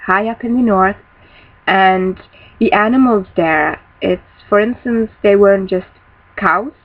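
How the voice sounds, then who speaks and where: A young woman, a native Dutch speaker, teaching a casual spiritual lesson from a small room, often pausing to find words. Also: medium pitch. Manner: thoughtful, searching for words, restarts often. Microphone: built-in laptop webcam mic, close. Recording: dull lo-fi webcam audio.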